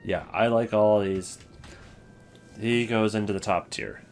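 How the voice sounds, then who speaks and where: Low-voiced man rambling casually. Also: a little slow, slight background noise. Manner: rambling, thinking aloud. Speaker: host ranking video game voice lines. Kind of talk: casual unscripted commentary.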